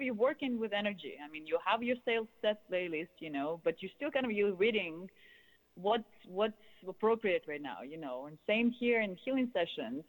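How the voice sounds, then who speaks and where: A woman in her thirties with a Russian accent, answering interview questions in loose, flowing, expressive phrases peppered with "you know". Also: medium pitch, rough recording. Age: 30s. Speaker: guest, sound healer and musician.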